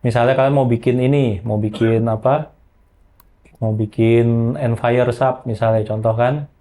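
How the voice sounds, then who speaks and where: A man explaining software in an informal, hands-on tutorial, using colloquial tags as he goes. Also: medium pitch, fairly even intonation, a little slow. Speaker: audio software trainer.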